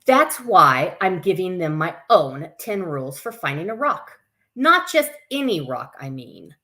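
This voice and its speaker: A woman's voice: fairly low pitch, big pitch swings, unhurried, sharp tone. A woman hosting a live story time for children, reading aloud with informal, chatty asides. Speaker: camp staff story time host.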